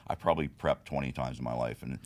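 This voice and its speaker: A man in his fifties with an extremely low voice that has a raspy edge. He tells his story steadily and frankly, with many small "you know"s. He speaks as a guest in a casual podcast interview. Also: fast talker, darkish tone. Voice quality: deep and raspy.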